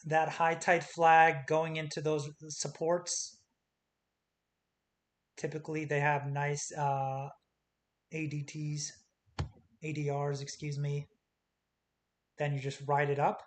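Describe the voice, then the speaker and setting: A man talking casually through stock charts in short, clipped calls, his voice going hoarse as he says he is losing it. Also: on the high side, completely flat delivery, slow, long pauses. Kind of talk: casual screen-share chart commentary. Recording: faint hiss.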